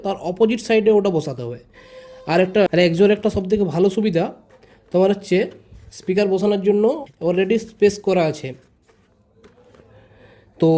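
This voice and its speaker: Male vlogger, high mellow voice, informal hands-on tutorial delivery with repeated phrases. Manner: informal, repeats phrases, addresses viewers directly. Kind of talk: casual step-by-step product tutorial.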